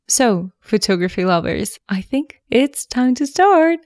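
A woman's voice: fairly high pitch, big pitch swings, unhurried, darkish tone, dry recording, clean studio sound. A woman hosting a photography podcast, reading an upbeat intro and then interviewing a guest in an encouraging way. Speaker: podcast host.

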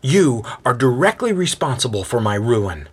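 A man plays a bold accuser in a dramatic story. He is blunt, forceful and righteous, declaiming with a widely moving pitch. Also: big pitch swings, normal speed, slightly rough. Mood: accusing. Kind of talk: acted storytelling dialogue.

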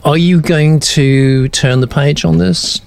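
A man's voice: on the high side, fairly even intonation, takes it slowly, vocal fry, darkish tone, slight background noise. A man hosting a panel discussion of a writing sample, chatty and affirming, reading out viewer comments. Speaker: host of a writing panel show.

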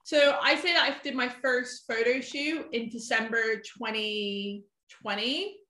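A chatty young woman in her twenties with a bright, forceful voice pitched a little high. She talks in a loose, rambling way, filling her sentences with "like". The sound is roomy and echoey. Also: a little slow. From half American, half English. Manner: chatty, rambling, heavy use of "like". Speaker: guest photographer. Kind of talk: casual podcast chat. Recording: echoey room.

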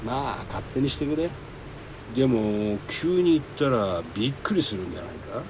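An actor playing a gruff, grumbling middle-aged detective in a comical hard-boiled audio drama, with a curt, blunt manner. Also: male voice, medium pitch, animated, moderate pace, background noise, close to an everyday voice. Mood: businesslike, gruff. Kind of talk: Japanese audio drama acting.

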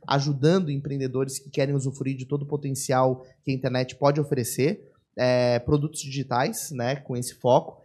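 A man hosting a podcast, introducing the guest in a friendly, measured way and asking questions. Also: mid-range voice, a little mellow, slightly rough. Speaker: podcast host.

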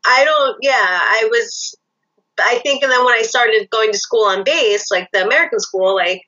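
A woman's voice: a little high, normal speed, bright, forceful tone. A woman in her thirties chatting casually as a guest with her sister, easygoing with short replies and frequent laughs. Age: 30s. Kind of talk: casual chat. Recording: dull lo-fi audio.

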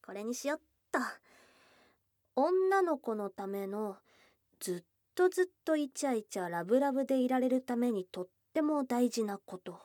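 A woman's voice: fairly high pitch, animated, normal speed, warm tone, dry recording, studio quality, close to an everyday voice. A woman playing a young goblin girl, a blunt, teasing yet shy girlfriend, in an intimate ASMR voice drama. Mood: curious, playful. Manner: blunt tomboyish speech, teasing and bashful. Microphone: close dry studio microphone.

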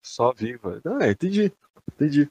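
A man's voice: on the high side, big pitch swings, normal speed, darkish tone, breathy edge, dry recording. A young man with a Brazilian accent chatting casually as a podcast co-host, slangy and free with swearing. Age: young adult. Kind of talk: casual chat.

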